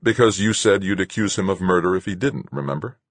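A man's voice: sits low, brisk, no room sound, voice pushed up. A man playing a suspicious, bitter man in an audiobook, blunt and accusing in the way he talks. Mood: skeptical. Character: sick, suspicious, bitter man, story's hero.

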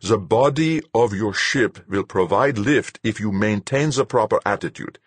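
A man voicing a sharp, commanding male spaceship captain in an audiobook, barking insistent orders. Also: fairly high pitch, expressive, takes it slowly, no echo, voice pushed up, exaggerated voice. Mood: calmer, instructive. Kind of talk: audiobook character dialogue.

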